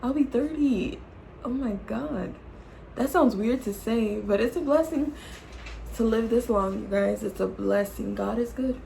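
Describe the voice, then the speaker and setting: A woman's voice: on the high side, a little slow, gravelly, mellow, a little hiss or hum, poor audio. A woman in her late twenties chatting casually to camera as a vlogger, playful and teasing, laughing often and calling her viewers y'all. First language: English. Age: 20s. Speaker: YouTube vlogger.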